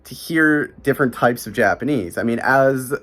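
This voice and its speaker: A man's voice: medium pitch, moderate pace, a bit bright. Rambling young Midwestern American man. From Chicago, USA. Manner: rambling, heavy "like" and "you know" fillers. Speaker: vlogger. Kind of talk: casual talking-head monologue. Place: small bedroom in an apartment, indoors.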